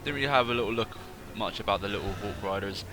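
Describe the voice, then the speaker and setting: A man's voice: fairly low pitch, fast, over music. A man with a slightly congested, stuffy voice giving casual replay commentary on a strategy game, rambling and correcting himself as he goes. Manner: rambling, self-correcting, thinking aloud. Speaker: gaming channel host.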